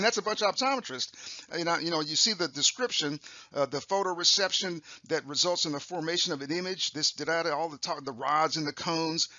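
A raspy older man lectures over slides in a loose, friendly way, stumbling into restarts and clearing his throat. His tone is very bright and pushed forward. The sound is dry and a little dull, close to the mic. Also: fairly high pitch, no echo. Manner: chatty, upbeat, frequent fillers and restarts. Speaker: optometrist lecturer. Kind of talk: recorded continuing-education lecture.

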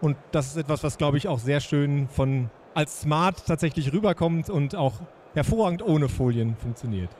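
Hesitant fair host, handheld mic. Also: male voice, a little high, normal speed, a little mellow, slight background noise. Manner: friendly, hesitant, many fillers, chuckles. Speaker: session host. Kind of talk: moderating a trade fair session. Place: trade fair booth indoors.

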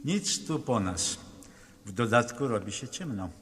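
An actor playing a weary, impatient expedition member in a 1970s Polish radio drama. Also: male voice, mid-range voice, lively intonation, measured pace, fairly bright tone, husky. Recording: very noisy old radio recording.

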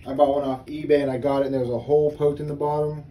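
A man casually chatting while opening trading card packs, relaxed and chuckling as he calls out cards. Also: very fast. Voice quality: says he has a very deep voice. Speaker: channel co-host. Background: faint crinkling of card packs.

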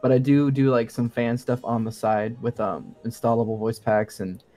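A man's voice: medium pitch, fairly even intonation, moderate pace, dark tone. A young adult man talking casually as a podcast guest, informal, a little hesitant and wry. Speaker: guest, game sound designer. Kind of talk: casual interview chat.

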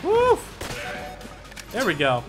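A man giving casual, excitable commentary as he plays a video game, often talking to himself. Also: very high voice, slow pace. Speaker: gaming YouTuber. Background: game music and gunfire.

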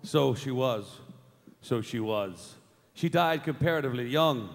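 Man playing creaky, theatrical old miser Scrooge. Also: medium pitch, animated. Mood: regretful. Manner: theatrical, halting. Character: old miser Scrooge, fearful, regretful, protesting. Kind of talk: staged radio play reading. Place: on stage in a large theatre. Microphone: stand microphone. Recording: large theatre PA with long reverb.

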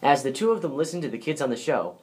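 A man's voice: on the high side, expressive, fast talker, a little mellow. An American man presenting a scripted biography as its narrator. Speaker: presenter, grandson of the subject.

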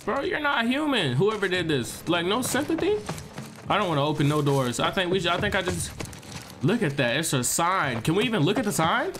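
A young man with a stuffed-up nose giving animated, joking gameplay commentary to his viewers. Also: high voice, a little fast, background noise. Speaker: gaming streamer.

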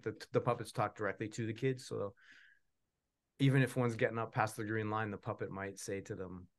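A middle-aged man with a medium-pitched voice talks casually over a video call from a room at home. He rambles a little and plays down his own know-how as he answers questions. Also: a bit bright. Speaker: puppeteer answering audience questions. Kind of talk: casual chat.

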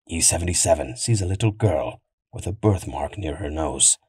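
A man's voice: sits low, moderate pace, background noise. A man giving dramatic scripted storytelling narration, as the narrator of an audio drama. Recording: loud music behind.

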